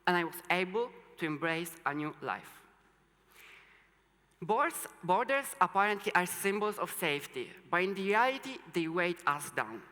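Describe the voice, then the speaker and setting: Casting: young adult student speaker, native Polish, forceful bright voice, earnest stage talk, long hall reverb. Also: mid-range voice. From Poland, moved to Italy as a teenager. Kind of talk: rehearsed public talk. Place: large indoor hall or stage.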